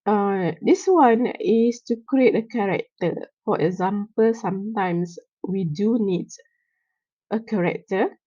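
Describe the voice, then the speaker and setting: A woman lecturer with a nasal, stuffy voice from a cold, explaining software tools to students with frequent fillers and checks for understanding. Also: medium pitch, no room sound, highs cut off. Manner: explains slowly with many fillers and check-ins.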